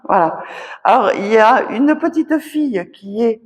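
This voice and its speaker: Woman, magnetiser at a public talk; unhurried and halting, checks in often, recorded in a reverberant hall. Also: fairly high pitch, animated. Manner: halting, punctuates phrases with "voilà" and "d'accord". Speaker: magnetiser giving readings. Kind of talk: spoken readings at a public talk. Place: association conference hall.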